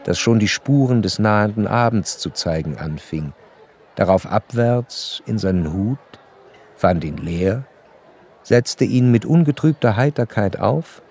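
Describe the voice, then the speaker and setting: An actor playing an educated, curious gentleman who narrates a literary radio play in a measured, articulate way. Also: fairly low pitch, lively intonation, slight background noise. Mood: observant, intrigued. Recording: studio radio play over faint violin music.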